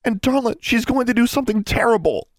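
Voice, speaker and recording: A man's voice: very high voice, expressive, fast talker, no echo, studio quality, voice pushed up. A man voicing a determined, urgent young girl heroine in an audiobook, earnest and insistent. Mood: urgent, agitated. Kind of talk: audiobook character voice.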